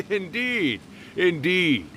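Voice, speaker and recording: Swooping, playful ancient fox spirit. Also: male voice, high voice, bright, forceful tone, slight background noise, heavily acted. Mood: amused, reminiscing. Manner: theatrical, teasing, drawn-out delivery. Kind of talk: video game voice acting.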